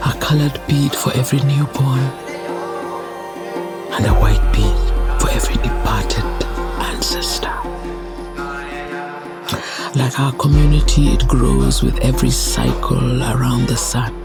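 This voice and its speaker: A man's voice: medium pitch, animated. A man playing a wise old clan elder, telling his story reflectively and solemnly in a motion comic. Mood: reverent. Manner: slow, reflective storytelling with breathy phrase endings. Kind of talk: dramatic narration in a motion comic. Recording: music behind the voice. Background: music.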